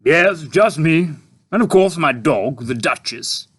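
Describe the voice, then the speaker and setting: A man reading a storybook aloud and voicing a dignified, overly polite old tramp who speaks slowly. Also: on the high side, lively intonation, fairly bright tone, breathy edge, voice pushed up, full character voice. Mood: courteous. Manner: slow, courteous, genteel.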